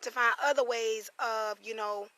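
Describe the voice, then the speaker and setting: Hoarse chatty woman on a phone live stream. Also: fairly high pitch, fairly even intonation, sharp tone. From South Carolina, USA. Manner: chatty, greets viewers by name, drawn-out asides. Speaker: spiritual reader and vlogger. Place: outdoors on family land in the country. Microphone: phone camera.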